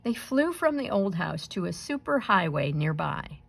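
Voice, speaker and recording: A woman reading a children's picture book aloud in an expressive storytelling style, acting out the sounds and dialogue. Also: on the low side, moderate pace, creaky, breathy edge. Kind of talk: animated read-aloud narration for children.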